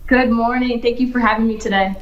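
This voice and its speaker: A woman's voice: on the high side, steady tone, fast, highs cut off. A young woman who grew up in Ohio, an author answering interview questions in an earnest, upbeat way, thinking aloud as she goes. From United States, grew up in Ohio. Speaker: children's book author, guest. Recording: echoey room.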